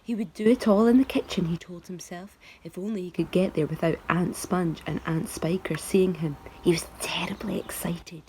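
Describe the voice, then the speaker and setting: A woman's voice: lowish voice, moderate pace, hardly any pauses, mellow, slight background noise, rough recording. A middle-aged woman teacher reading a children's book aloud expressively, asking her listeners questions. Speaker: primary school teacher.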